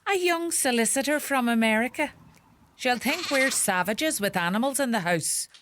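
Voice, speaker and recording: An actress playing a bossy, sharp-tongued rural mother with a Donegal Irish accent in a radio play. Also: fairly high pitch, moderate pace, background noise, close to an everyday voice. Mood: scolding. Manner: scolding, animated, gossipy.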